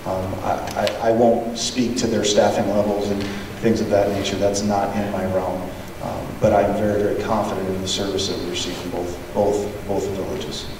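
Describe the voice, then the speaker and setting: Diplomatic superintendent, low voice, echoey hall. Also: male voice, level tone. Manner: measured, diplomatic, repeats words when starting. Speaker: school superintendent. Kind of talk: answering questions at a public meeting. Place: large meeting hall. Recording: echoey hall, distant mic, background noise.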